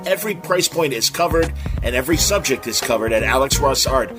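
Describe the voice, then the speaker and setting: A man's voice: medium pitch, lively intonation, brisk, music in the background. A man hosting a podcast, speaking in an informal, earnest, slightly hesitant way as he makes announcements. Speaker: podcast host.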